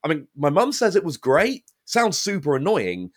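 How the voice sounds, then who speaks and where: Animated man telling stories. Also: on the high side, normal speed. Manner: animated, lively storytelling. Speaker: podcast host and card game caster. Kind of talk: casual podcast interview chat.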